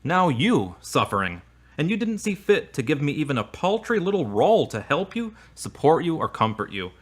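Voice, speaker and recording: A man playing a devout, easily wounded boyfriend in an audio drama, earnest and expressive. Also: a little high, moderate pace. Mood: hurt, indignant. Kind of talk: acted audio drama dialogue.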